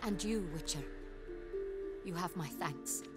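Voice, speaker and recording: A woman's voice: fairly low pitch, slow, loud music behind the voice. A woman playing a proud, decisive duchess in a video game, formal and commanding. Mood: grateful. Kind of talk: cinematic video game dialogue.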